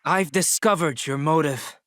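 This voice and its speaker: An actor playing a confident young detective in a video game, laying things out firmly and methodically. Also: male voice, fairly high pitch, a little slow, a bit bright, dry recording, studio quality, soft background music. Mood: accusing, triumphant. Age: young adult. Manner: firm, methodical explaining. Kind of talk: video game cutscene acting.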